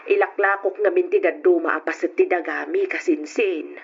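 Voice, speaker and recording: An actress playing an earnest, pleading family woman in an old radio drama, with a husky voice and Ilocano accent. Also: lowish voice, steady tone, moderate pace, full character voice. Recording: dull lo-fi old radio recording.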